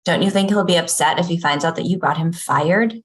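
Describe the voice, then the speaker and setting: A woman playing a cool, unbothered boss of forty in a table read of a TV pilot, curt and deadpan. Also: lowish voice, brisk, lightly acted. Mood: questioning.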